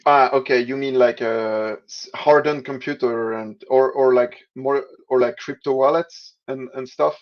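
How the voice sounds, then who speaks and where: Swiss man, halting, lo-fi call audio. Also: medium pitch, steady tone. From Switzerland. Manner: halting, many restarts and fillers. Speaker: podcast guest. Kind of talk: casual interview answers. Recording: dull lo-fi audio.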